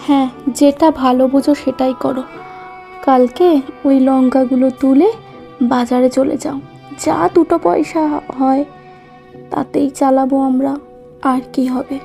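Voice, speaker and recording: A woman's voice: high-pitched, flat delivery, measured pace, vocal fry, long pauses, warm tone. A woman voicing a farmer's practical, reassuring village wife in a cartoon, soothing and resigned in manner. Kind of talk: cartoon story dialogue. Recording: music behind the voice.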